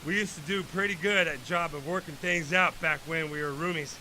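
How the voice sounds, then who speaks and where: A young man playing an earnest superhero pleading haltingly with his friend in an audio drama. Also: fairly high pitch, fairly even intonation, sharp tone, slight background noise, rough recording. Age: young adult.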